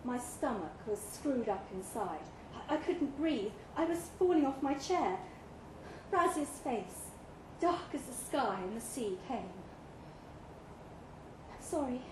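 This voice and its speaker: Slow, high-voiced woman reading in an echoey room. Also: sing-song. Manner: acts out dialogue in character. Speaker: reader of a short story. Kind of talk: expressive literary reading to a live audience. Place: pub event room, live reading. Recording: echoey room with clear background noise.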